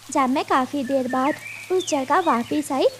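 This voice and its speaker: High-pitched motherly cartoon bird. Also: a little slow. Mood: earnest, reminiscing. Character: mother bird, gentle storyteller recalling her hardships. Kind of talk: storytelling in a children's cartoon. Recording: faint background noise.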